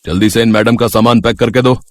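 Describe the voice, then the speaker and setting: A man voicing a stern, demanding grocery shop owner who gives brisk orders in a story. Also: medium pitch, steady tone, dry recording, lightly acted. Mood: commanding. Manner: brisk, commanding.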